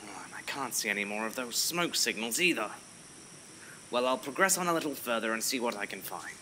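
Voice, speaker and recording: A man acting a bright, excitable bumbling adventurer. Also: medium pitch, brisk, slight background noise, low-quality recording. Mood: puzzled. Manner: theatrical, old-fashioned quaint exclamations. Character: bumbling excitable adventurer hero, thinking aloud. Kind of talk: audio adventure story acting.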